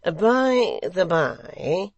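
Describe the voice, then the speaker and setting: Man acting a slow, falsely mild scheming secretary. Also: high-pitched, big pitch swings, no room sound, muffled recording, voice pushed up, exaggerated voice. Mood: insinuating. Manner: pastor-like, mild, insinuating, drawn-out.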